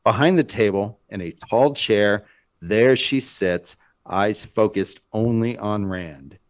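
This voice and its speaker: Slow, low-voiced male narrator. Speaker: screenplay narrator. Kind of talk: scripted dramatic narration.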